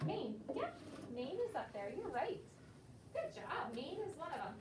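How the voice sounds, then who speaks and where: A high-pitched American woman teaches a class of children with simple explanations and questions. She sounds distant from the microphone in an echoey room, with noise around her. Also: big pitch swings, moderate pace. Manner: simple explanations with prompting questions and brief praise. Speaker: elementary school teacher.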